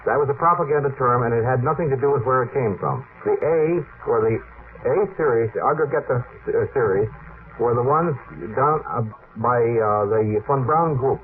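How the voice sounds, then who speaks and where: A man as a radio panel guest, explaining technical matters in a halting, pedantic way and correcting others. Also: medium pitch. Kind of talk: unscripted technical explanation in discussion. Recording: noisy, phone-like vintage radio archive recording.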